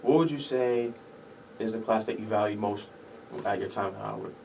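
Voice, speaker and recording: A man's voice: fairly low pitch, normal speed, a little mellow, recorded from a distance, slight background noise, rough recording. A young man from Connecticut hosting a college radio interview. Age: young adult. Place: small university radio studio. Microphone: boom-arm studio microphone.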